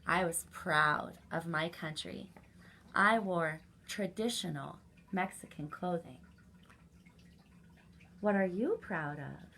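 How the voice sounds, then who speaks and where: Bright-voiced woman hosting children's story time. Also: on the low side, slow, pauses often, slight background noise. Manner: animated, addresses children directly as friends. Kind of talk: children's story time read-aloud and chat.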